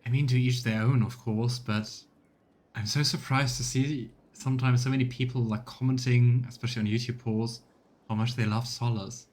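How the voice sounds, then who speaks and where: A man's voice: mid-range voice, steady tone, normal speed, a little mellow. A man chatting casually as a let's play host, musing and laughing easily. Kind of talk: casual gameplay commentary.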